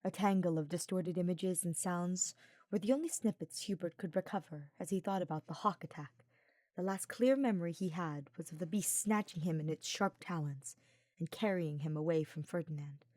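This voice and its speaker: Casting: female audiobook reader, lower register, scripted fiction narration, dry close sound. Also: normal speed, a little mellow, no echo.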